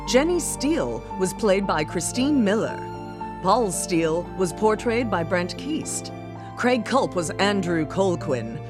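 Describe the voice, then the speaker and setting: A woman announcer reading a scripted radio drama outro and credits. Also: mid-range voice. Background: music.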